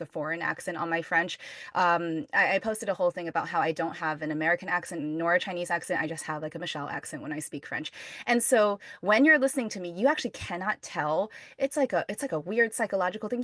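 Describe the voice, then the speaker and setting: A woman's voice: mid-range voice, fairly bright tone, no room sound, clean studio sound. A woman hosting a podcast interview, friendly and agreeing as she talks. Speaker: podcast host. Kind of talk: casual interview conversation.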